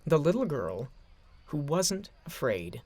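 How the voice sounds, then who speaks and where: A man narrating a scripted story in a dramatic, expressive storytelling manner. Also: on the high side, takes it slowly.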